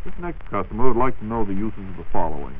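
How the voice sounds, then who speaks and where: A man's voice: fairly low pitch, animated, fast talker. An American man hosting a 1940s radio show, presenting questions in a partly scripted style. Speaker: radio host reading listener questions. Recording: dull, faintly noisy 1940s radio recording.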